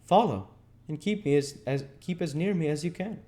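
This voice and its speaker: A commanding young gentleman voiced by a man reading a novel. He is urgent and quick-witted, with the pitch lifted high. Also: natural voice.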